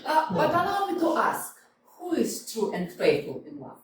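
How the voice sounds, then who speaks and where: An amateur actress reading the part of a proud, reclusive widow, prim and reproachful. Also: female voice, sits high, huge pitch swings, moderate pace, a little hiss or hum. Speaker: amateur actor in a staged reading. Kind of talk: staged play reading. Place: small community theater stage. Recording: echoey hall from a distance.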